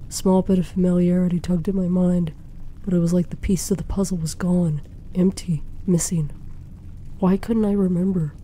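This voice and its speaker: A woman narrating a suspenseful first-person story in a dramatic, deliberate way. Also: on the low side, warm tone. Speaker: fan fiction narrator. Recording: faint background noise.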